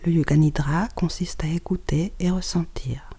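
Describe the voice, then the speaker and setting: A yoga nidra guide giving calm, soft-spoken instructions in short phrases with pauses, often close to a whisper. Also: medium pitch, husky. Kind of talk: guided relaxation meditation, scripted instructions.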